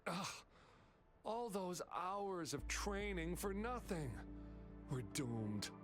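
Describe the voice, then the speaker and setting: A man's voice: high-pitched, very animated, slow pace, a bit bright, exaggerated voice. A man playing an easily flustered, whiny young relay racer in a video game. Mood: dejected. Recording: music playing behind the voice.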